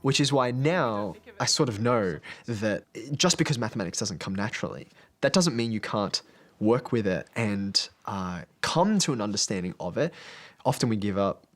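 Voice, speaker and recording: Brief: man, teacher, reflective documentary interview, soft music underneath. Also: lowish voice, lively intonation, moderate pace. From Australia. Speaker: maths teacher. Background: background music.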